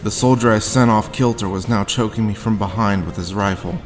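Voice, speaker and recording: An actor playing a grim, haunted war veteran, telling his story deliberately in a noir audio drama. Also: on the low side, faint music behind, natural voice. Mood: grim, tense. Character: haunted hard-boiled male war veteran recounting his grim past.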